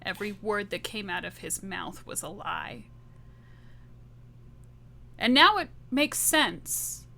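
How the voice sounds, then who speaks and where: A woman's voice: mid-range voice, big pitch swings, takes it slowly, vocal fry, long pauses, bright, forceful tone, slight background noise, full character voice. A woman playing a bitter, distrustful ex-wife turned monster hunter in a tabletop game, speaking insistently. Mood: bitter, hurt.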